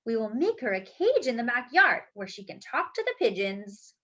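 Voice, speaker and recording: A woman's voice: a little high, big pitch swings, moderate pace, pushed and bright, lo-fi sound, full character voice. A woman voicing a practical, matter-of-fact grandmother in a storybook.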